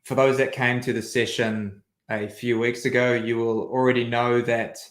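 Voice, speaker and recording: A man's voice: mid-range voice, level tone, moderate pace. A man with a New Zealand accent, a sales coach giving an upbeat, structured webinar presentation over video call. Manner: upbeat, structured, walks through an agenda. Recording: echoey room audio.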